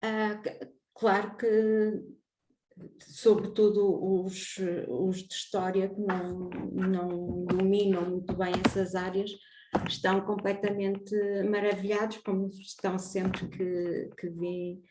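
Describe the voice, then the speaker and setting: A woman moderates an online seminar, talking very slowly and hesitantly. Her voice comes through a video call from an echoey room with a faint hiss behind it. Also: medium pitch, little pitch movement, dark tone. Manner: slow, hesitant.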